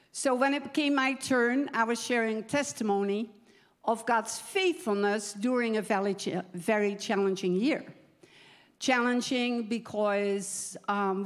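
A woman in her seventies from the Netherlands with a Dutch accent, preaching a personal testimony in an earnest, deliberate way with pauses. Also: a little high. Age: 70s. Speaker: guest preacher giving a testimony. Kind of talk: sermon testimony to a church gathering.

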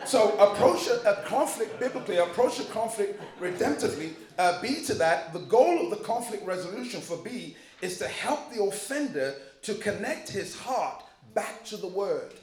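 Liberian-born pastor, high-pitched, emphatic and humorous sermon delivery, roomy hall sound. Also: male voice, sing-song, normal speed, hardly any pauses. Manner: emphatic preaching with humor and repetition.

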